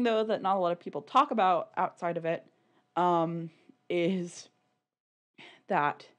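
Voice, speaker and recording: A woman with a slightly low voice talks casually as a podcast co-host. Her phrasing is informal and loose, sprinkled with "like" as she works through a point in discussion. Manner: informal, peppers talk with "like" and laughs easily.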